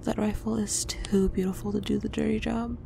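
A woman's voice: mid-range voice, level tone, a little fast, gravelly, mellow, a little hiss or hum. A woman playing a loyal female agent who looks out for her best friend in an audio drama. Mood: businesslike. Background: music.

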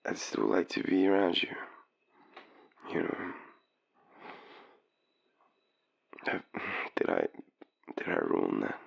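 A very low, heavily creaky Australian male voice from an actor playing a drunk young man with a crush. He stammers and apologises in an intimate audio roleplay, halting between murmured phrases. The pitch wanders widely, and the recording sounds slightly dull. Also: big pitch swings, heavily acted. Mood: awkward, hesitant. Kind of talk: intimate boyfriend roleplay, as a late-night phone call.

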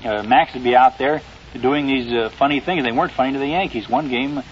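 Fast, folksy man on vintage radio. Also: mid-range voice. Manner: halting, folksy storytelling. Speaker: guest sports writer. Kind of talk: early 1950s radio interview. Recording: dull, noisy old radio recording.

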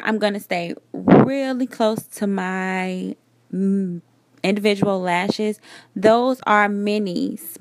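A woman in her thirties talks through her beauty routine step by step in an easygoing, conversational way. Her voice sits at a middle pitch. The voiceover is recorded close and dry, with no room sound. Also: vocal fry, dry recording. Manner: relaxed, chatty step-by-step explaining. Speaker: beauty vlogger. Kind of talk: makeup tutorial voiceover. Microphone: voiceover recorded separately.

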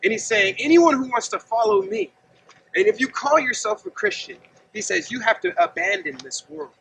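Man preaching outdoors, very high gravelly voice, bright edge, lively pitch, distant mic, faint outdoor hum. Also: normal speed. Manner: earnest, conversational preaching with gestures. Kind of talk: outdoor sermon to camera. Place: outdoors by a gravel lot and fence. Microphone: camera at a distance.

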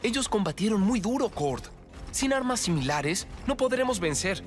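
A man's voice: high voice, very animated, moderate pace, soft background music. A man playing a determined teenage boy hero in a cartoon dub. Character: determined heroic teenage boy gang leader.

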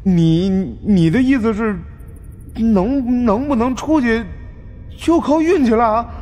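A man's voice: very high voice, slow pace, darkish tone, voice pushed up. A man voicing a cheeky, nervous fat man who talks colloquially and stammers.

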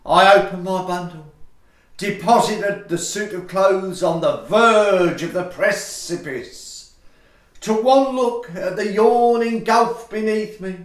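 An actor playing a touchy, grandiloquent Victorian gentleman lodger in a farce audio play. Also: high-pitched, slow. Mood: melodramatic. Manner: theatrical, grandiloquent. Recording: echoey room.

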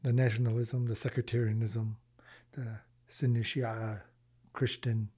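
Podcast guest, man in his 40s, mellow and slightly husky, earnest, restarts his phrases, close studio mic. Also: medium pitch, level tone, measured pace. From Lebanon, raised in Michigan, US. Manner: earnest, repeats words while thinking, uses Arabic religious phrases. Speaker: podcast guest, charity founder. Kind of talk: casual interview conversation. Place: small podcast studio indoors. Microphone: boom-arm studio mic.

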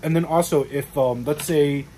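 A man's voice: on the high side, moderate pace, mellow, slight background noise. Rambling young man explaining at home. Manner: rambling, many fillers and restarts. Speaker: vlogger explaining workplace law. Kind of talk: casual explainer to camera. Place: small room at home, indoors.

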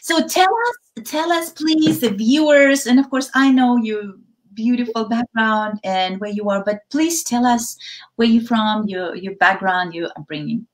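A woman's voice: on the high side, a little slow, rough recording. Bubbly woman host on a video call. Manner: bubbly, gushing, filler-heavy. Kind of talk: casual chat. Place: home room with bookshelves.

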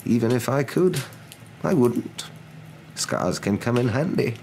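A man voicing a calm, wise elderly wizard in a storybook, with a measured, drawn-out delivery. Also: mid-range voice, pitched above the performer's own voice. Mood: calm, wry. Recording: noticeable background noise.

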